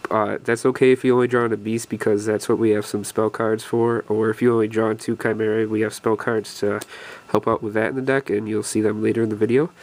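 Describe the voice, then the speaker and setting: A man's voice: on the low side, little pitch movement, quick pace, a little mellow. Congested young man rambling. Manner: rambling with many fillers and restarts. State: congested from a cold; out of breath. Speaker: deck profile video host. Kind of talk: casual game deck walkthrough.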